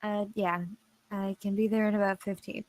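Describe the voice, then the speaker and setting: Steady, worried policewoman played by an actress. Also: medium pitch, normal speed, dry recording, natural voice, low-quality recording. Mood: concerned, probing. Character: steady, worried female police officer, loyal friend. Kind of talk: screenplay table read.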